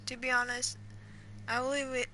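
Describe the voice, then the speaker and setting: A woman's voice: high-pitched, level tone, normal speed, pushed and bright, poor audio. A woman giving casual game playthrough commentary, rambling, repeating herself and reacting aloud. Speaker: gaming streamer. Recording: game music faintly behind.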